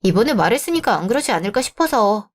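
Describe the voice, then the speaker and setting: A woman's voice: mid-range voice, very animated, quick pace. A young woman reading a radio drama story and playing its heroine, firm and insistent with a hurt edge. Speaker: narrator and heroine.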